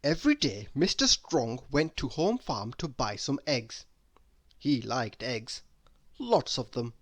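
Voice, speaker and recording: A narrator reading a children's story aloud in a deliberate, expressive storytelling manner. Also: medium pitch. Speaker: storybook reader.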